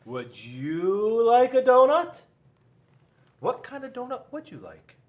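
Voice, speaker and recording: A man voicing a polite but fickle panda in a storybook, making slow, deliberate offers and refusals. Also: extremely high pitch, sing-song, pitched above the performer's own voice, exaggerated voice. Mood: inviting, coaxing.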